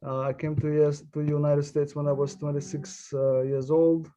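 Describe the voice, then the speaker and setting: A man who grew up in Uzbekistan, speaking as a panelist in a halting way with many fillers. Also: on the high side, flat delivery, warm tone. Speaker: panelist, immigration attorney. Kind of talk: panel discussion introduction.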